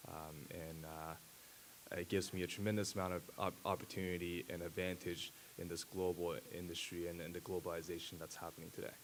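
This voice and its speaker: A man in his thirties, a native Japanese speaker, giving a conference talk at a podium with a handheld mic, pausing often with fillers and restarts. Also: deep voice, level tone, a little hiss or hum, poor audio. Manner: halting, many fillers and restarts. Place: large dark auditorium stage.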